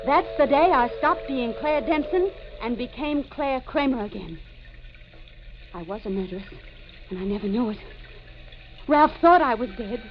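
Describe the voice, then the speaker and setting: A woman's voice: a little high, expressive, normal speed, pauses often, a little hiss or hum, lightly acted. An actress playing an anguished woman with amnesia who has killed, in brooding, melodramatic 1940s radio mystery style. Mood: dawning horror. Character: anguished amnesiac murderess, haunted and despairing. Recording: muffled old 1940s radio recording.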